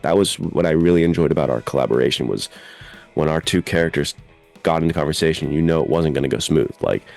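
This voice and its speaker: A man's voice: a bass voice, expressive, fast talker, gravelly, darkish tone, soft background music. A man co-hosting a podcast in casual chat, with an informal, effusive, enthusiastic way of talking. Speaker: podcast co-host and fiction writer.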